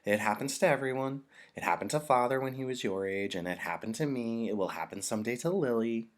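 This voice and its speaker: A kind storybook mother as a male reader plays her, pitched up from his deep natural voice. She is gentle and reassuring, explaining things patiently to her child. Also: animated, brisk, natural voice.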